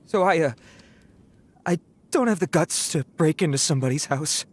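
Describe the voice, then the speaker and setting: A man's voice: fairly high pitch, expressive, moderate pace, breathy edge. A man voicing a timid young bandit in a video game, flustered and rambling, full of fillers. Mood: sheepish. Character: timid young male bandit caught red-handed. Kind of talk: game voice acting.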